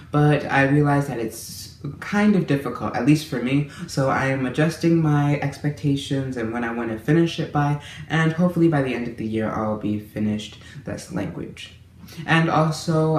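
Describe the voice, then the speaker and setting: A man giving a casual monthly language-learning update to his viewers, talking in a friendly, chatty way with small restarts. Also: medium pitch. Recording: roomy echo with faint room noise.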